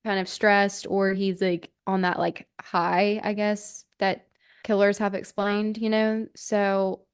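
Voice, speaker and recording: A woman's voice: mid-range voice, level tone, slow, clean tone. A woman hosting a true-crime podcast, telling the story in a casual, conversational way peppered with "like". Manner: informal, hedging, filler-heavy with "like".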